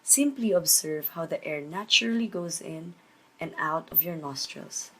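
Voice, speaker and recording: A woman leading a guided meditation, giving measured instructions with pauses between phrases. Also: low voice, bright, forceful tone, low-quality recording. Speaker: meditation teacher.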